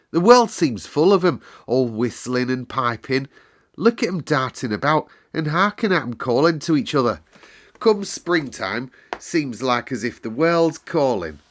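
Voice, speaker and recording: A man's voice: medium pitch, pitched above the performer's own voice. A man voicing a cheerful, kindly country boy in a storybook, speaking broad Yorkshire dialect with eager wonder. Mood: delighted wonder. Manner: broad dialect, warm exclamations, unhurried wonder.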